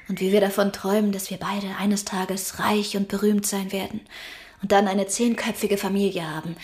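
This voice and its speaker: A woman's voice: medium pitch, vocal fry, natural voice. An actress playing a flippant, worldly young woman in a German radio drama, theatrical and ironic, hiding hurt behind breeziness.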